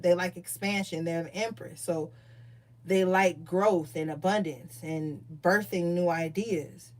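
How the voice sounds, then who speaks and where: Loose-talking woman tarot reader. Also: medium pitch. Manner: loose, profane, chatty asides; reads card meanings aloud in a calmer tone. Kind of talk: casual tarot reading.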